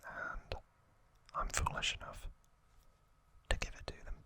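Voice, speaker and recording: A man whispers in an ASMR style, close to the microphone. His delivery is very slow and deliberate, and the whisper has a bright, sharp edge to it. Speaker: ASMR video creator and host.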